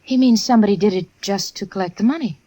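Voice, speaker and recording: A woman's voice: mid-range voice, fast, warm tone, close to an everyday voice. A woman playing an earnest, protective sister in a radio suspense style.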